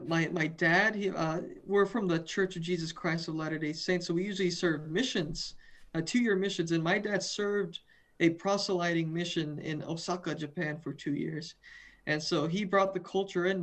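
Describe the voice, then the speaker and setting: A man's voice: high voice, fairly even intonation, normal speed, fairly bright tone. A young man from the western United States, a student guest in a video interview, earnest and often repeating and restarting words. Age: young adult.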